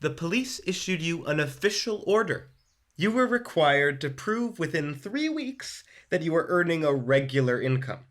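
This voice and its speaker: A bureaucratic bully of a policeman in a radio play. His voice is fairly high for a man. He speaks officiously, with an insinuating edge. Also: big pitch swings, moderate pace, a bit bright. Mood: officious, stern.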